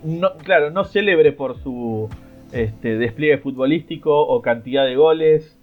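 A man co-hosting a casual podcast chat, joking around and often pausing on filler words. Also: a little high, phone-quality sound, faint music behind. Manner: joking, frequent "este" fillers. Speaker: podcast co-host.

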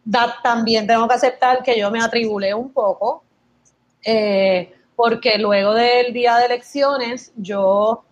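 A woman's voice: fairly high pitch, a little slow, highs cut off. A woman in her thirties with a Puerto Rican accent, telling stories in casual chat and mixing English words into her speech. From Puerto Rico. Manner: lively storytelling, mixing English words into Spanish. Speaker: podcast guest sharing her experience.

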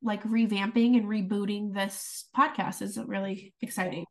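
A woman's voice: medium pitch, steady tone, normal speed, gravelly, a little mellow, recorded from a distance. A young adult woman co-hosting a podcast in casual chat, giggly and full of "like"s. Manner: giggly, filler-heavy, with many "like"s. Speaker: podcast co-host.

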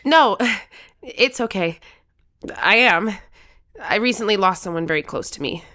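An earnest thirteen-year-old in an audio drama. The voice sits at a middle pitch, youthful and eager, telling things in a heartfelt way. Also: female voice, lively intonation, a little slow, sharp tone. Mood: wistful, earnest. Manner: earnest, wistful storytelling.

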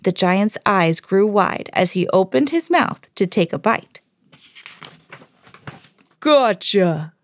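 A woman storyteller hosting a podcast, reading a children's fairy tale expressively with clear enunciation. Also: mid-range voice, expressive, unhurried, hardly any pauses, dry recording, studio quality.

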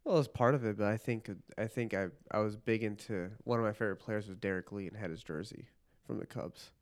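A man in his late twenties from Illinois talks as a podcast guest. He often hesitates and trails off mid-sentence. His voice sits fairly low, recorded close and dry. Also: no echo. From Illinois, USA. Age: 20s. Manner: hesitant, halting, mild-mannered. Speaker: police officer, podcast guest. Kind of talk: casual chat.

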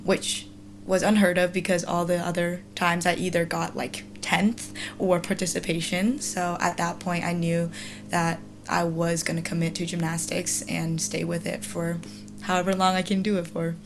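A young woman in her twenties, a student athlete whose first language is Mandarin, speaks in a fairly low voice and answers questions casually. She fills her answers with ums and drifts as she goes. A steady hum and clear noise run under the recording. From China. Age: 20s. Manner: many hesitant ums, rambling.

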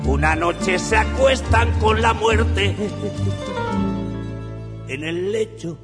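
Hombre de voz aguda recitando poesía lentamente. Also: male voice, high-pitched, very slow. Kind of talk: slow poetry recitation. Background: loud music.

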